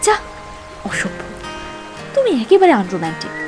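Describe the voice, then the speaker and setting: An actress playing a sweet, anxious, teasing young girlfriend with a Bengali accent in an animated love story. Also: extremely high pitch, moderate pace, full character voice, low-quality recording. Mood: playful teasing. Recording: music behind the voice. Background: music.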